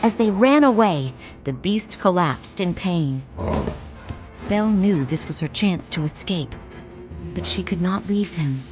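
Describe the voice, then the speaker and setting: A woman narrating a children's read-along storybook in a clear, expressive way. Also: on the low side, measured pace. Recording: music playing behind.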